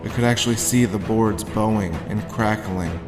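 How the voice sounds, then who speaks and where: A man playing a haunted, sardonic drifter in a dark horror audio drama, moving between first-person narration and dialogue. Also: lowish voice, moderate pace, lightly acted. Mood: brooding. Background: background music.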